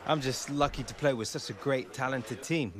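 A man's voice: medium pitch, normal speed, a bit bright, a little hiss or hum, natural voice. A young man with an English accent playing a modest, earnest footballer in a game cutscene. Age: young adult. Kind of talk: video game cutscene acting.

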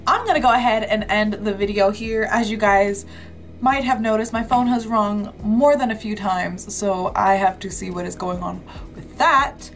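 A woman's voice: mid-range voice, moderate pace. A young woman hosting a reaction vlog, chatting casually and swearing freely with plenty of "like". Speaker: reaction video host. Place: small room in front of green screen.

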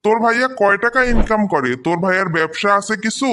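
A man's voice: high voice, quick pace, bright, forceful tone, slight background noise. A man voicing a defiant, protective young brother in a cartoon story, argumentative and insistent, with a Bangladeshi accent. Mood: defiant, accusing. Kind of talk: amateur cartoon story dubbing.

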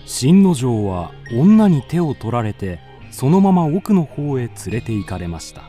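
A man's voice: mid-range voice, big pitch swings, a little slow, dark tone, soft background music. A man narrating a scripted ghost story in a polite storytelling manner.

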